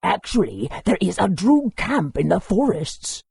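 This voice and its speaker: An old scholarly sage in a fantasy game, wordy and instructive. The voice is extremely hoarse-edged and crackly, rising and falling expressively. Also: male voice, sits high, very animated, measured pace, a little mellow, dry recording, full character voice. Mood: helpful, wise. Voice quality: very raspy, creaky. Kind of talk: fantasy video game character acting.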